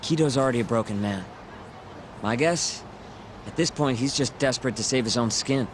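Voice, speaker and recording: An actor playing a cocky young sidekick in a game cutscene, breezy, informal and teasing. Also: male voice, mid-range voice, moderate pace, background noise, natural voice. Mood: easygoing concern. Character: cocky young male sidekick, taunting, casual, loyal. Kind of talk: dramatic video game cutscene acting.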